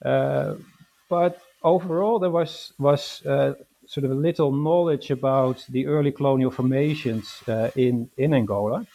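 A man from the Netherlands talks about his academic path in an interview. He pauses often and fills gaps with "uh", and he restarts his sentences. His voice is mellow and relaxed. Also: medium pitch, creaky. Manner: hesitant, many fillers and restarts. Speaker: historian guest.

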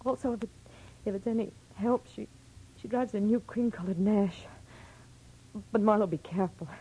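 Actress as anxious, gentle American young woman, soft dark husky tone, 1940s radio drama. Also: mid-range voice, normal speed, lightly acted, low-quality recording. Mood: anxious, subdued.

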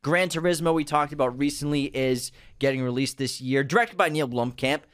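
A man's voice: on the high side, brisk, fairly bright tone, clean tone, dry recording, studio quality. A man co-hosting a podcast, casually running through upcoming video game adaptations and correcting himself with good humor. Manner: lists upcoming projects, self-correcting, playful. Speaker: podcast co-host. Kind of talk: casual movie news chat.

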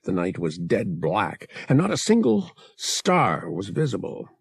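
A man's voice: lowish voice, wildly swooping pitch, unhurried, vocal fry, slightly rough, dry recording, studio quality. A man narrating an adventure novel as an audiobook, reading expressively from a script.

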